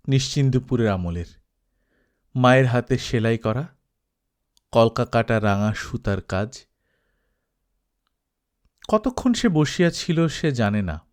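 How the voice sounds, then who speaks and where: A man reading a literary novel aloud as an audiobook storyteller, expressive, with dramatic pauses. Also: medium pitch. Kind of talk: scripted literary narration.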